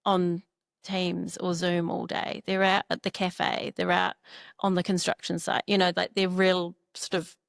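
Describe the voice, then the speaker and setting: Kiwi woman in her 30s, chatty startup founder, lots of "like", very fry-heavy voice. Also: lowish voice, completely flat delivery, a little slow, dry recording, clean studio sound. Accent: New Zealand. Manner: chatty, many fillers like "you know" and "like". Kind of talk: casual interview talk.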